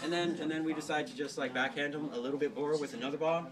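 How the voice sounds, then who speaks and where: A young man with a fairly high voice holds forth in a cocky, halting storytelling style. He is flippant and informal. The mic sits at a distance and other people are clearly chattering around him. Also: fairly even intonation, a crowd in the background. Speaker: storyteller host playing a cadet gunnery sergeant. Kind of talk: informal history storytelling to a small group. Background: several people chattering and interjecting.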